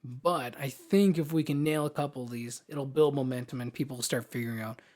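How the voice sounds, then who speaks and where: A man's voice: mid-range voice, fairly quick, a little mellow. A man hosting a podcast, chatting casually and informally about films, with slangy phrasing and some mild swearing. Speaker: podcast host. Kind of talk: casual film podcast chat.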